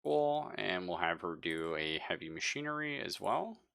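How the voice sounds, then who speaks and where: A man with a low, bright voice runs a tabletop roleplaying game as game master. He improvises casually, pausing to think aloud and sometimes swearing. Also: sing-song, creaky, clean tone. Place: live online stream.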